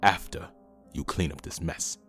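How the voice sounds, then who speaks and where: Man playing a very deep-voiced, domineering villain. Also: measured pace, fairly bright tone, breathy edge, faint music behind, heavily acted. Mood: curt, intent. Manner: curt, commanding. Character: domineering, impatient male villain with ruthless ambition. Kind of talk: audio drama acting.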